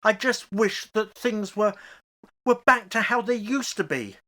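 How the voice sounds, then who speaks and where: An actor as a fearful older man in a horror radio play. He speaks slowly and haltingly, with pitch that swings dramatically. He sounds agitated and pleading. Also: lively intonation, sharp tone, heavily acted. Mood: hesitant, disbelieving.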